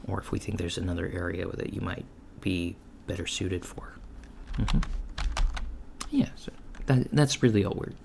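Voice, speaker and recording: Low, creaky, easygoing alien interviewer acted by a man. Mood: casual, reassuring. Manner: halting, mumbly, casual, trailing off. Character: easygoing, reassuring alien job interviewer, male. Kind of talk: soft-spoken ASMR roleplay. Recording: lo-fi with clear background noise. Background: computer keyboard typing.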